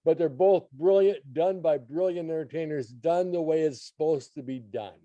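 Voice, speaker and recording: A man's voice: a little high, moderate pace, dark tone, dry recording, dull audio. A man giving opinionated banter as a radio guest critic, blunt, emphatic and curmudgeonly.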